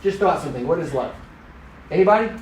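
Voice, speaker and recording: A man in his fifties who grew up in Thailand, teaching a church class in an animated, joking way and teasing the people in it. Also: on the high side, quick pace, a little hiss or hum. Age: 50s. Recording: echoey room.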